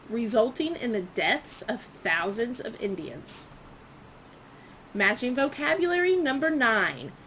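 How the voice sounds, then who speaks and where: A woman's voice: a little high, a bit bright, a little hiss or hum, poor audio. A woman history teacher reading quiz questions aloud to students, enunciating each prompt item by item in an instructional way.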